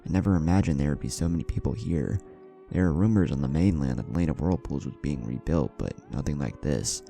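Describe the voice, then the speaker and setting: A man's voice: very deep voice, brisk, gravelly, dark tone, faint music behind. A man voicing a curious, easily impressed young runaway ninja in a story, with a casual, questioning manner.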